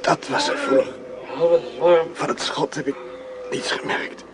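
An actor playing a gravely wounded army captain in a radio drama, weak, gasping and breathy. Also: male voice, a little slow, crowd noise, close to an everyday voice. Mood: weak, loving. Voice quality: breathy, whispering. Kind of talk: Dutch radio drama acting.

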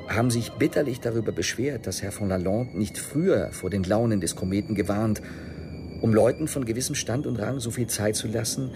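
A man giving an articulate, rhetorical reading of a literary text for the radio. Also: fairly low pitch. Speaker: reader of literary texts.

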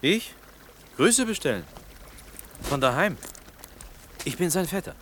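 An actor in a German radio play playing a humble, naive young village potter, hesitant and earnest in manner. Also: male voice, fairly high pitch, very animated, takes it slowly, a bit bright, slight background noise, heavily acted. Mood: polite, cautious.

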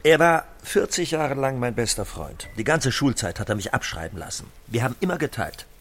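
An actor playing a slick, self-assured middle-aged car dealer in a radio drama, smooth-talking and expressive. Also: male voice, medium pitch, brisk. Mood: indignant. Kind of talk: German audio drama acting.

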